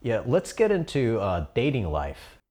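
A man hosting a casual interview, asking relaxed, hesitant questions with ums and small laughs. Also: medium pitch, unhurried. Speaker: interviewer host.

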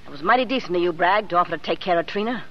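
A woman's voice: lowish voice, expressive, racing. A woman playing a jealous, sarcastic, brassy American dame in an old-time radio drama. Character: jealous, sarcastic, brassy woman fed up with her cheating man. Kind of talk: 1930s-40s American radio drama acting. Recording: muffled, noisy old radio recording.

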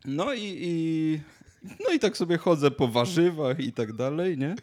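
A man's voice: on the high side, animated, unhurried, darkish tone. A man, chatting casually as a podcast co-host, often repeating words and restarting. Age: 20s. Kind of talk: casual chat, anecdotes.

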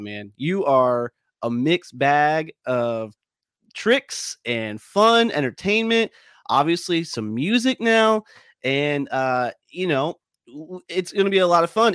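A man's voice: on the high side, a bit bright, smooth, no room sound, clean studio sound. A male podcast host getting over a cold, a bit sniffly, talking in an upbeat, chatty way with lots of fillers. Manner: upbeat, chatty, many ums and uhs. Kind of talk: casual podcast chat.

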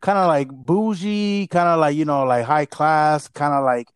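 A man's voice: a little high, a little slow, clean tone, dry recording. Male podcast co-host, loose rambling banter, bilingual, close dry recording. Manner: rambling, joking, code-switching Spanish and English. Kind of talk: casual podcast chat. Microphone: not his regular mic.

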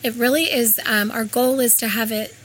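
Raspy woman, hoarse with laryngitis. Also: a little high, steady tone, normal speed, fairly bright tone. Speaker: health food store co-owner. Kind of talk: informal interview explanation.

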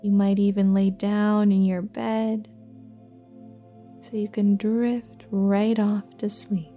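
A woman leading a guided relaxation meditation in a soft, gentle, breathy and soothing voice, leaving long pauses between phrases. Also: mid-range voice, level tone, muffled recording. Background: soft new-age music with singing bowls.

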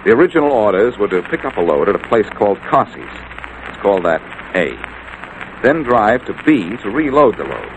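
A cool, self-assured sleuth from a 1940s radio mystery. His low voice swings freely as he needles and wisecracks. The old broadcast recording is plainly noisy. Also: very animated, brisk. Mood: assured, explaining. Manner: wry, confident, needling. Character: cool, wisecracking amateur detective, sure of himself. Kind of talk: 1940s radio detective drama acting.

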